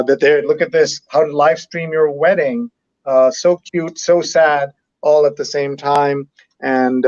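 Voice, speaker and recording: A man's voice: on the high side, darkish tone, no room sound, muffled recording. A man hosting a live read-along, chatting enthusiastically and hopping between asides as he reads out and comments. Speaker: host of a live newspaper read-along show.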